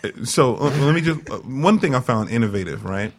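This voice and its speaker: A man's voice: medium pitch, lively intonation, brisk. A man co-hosting a casual radio interview in an encouraging, affirming way. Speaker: co-host.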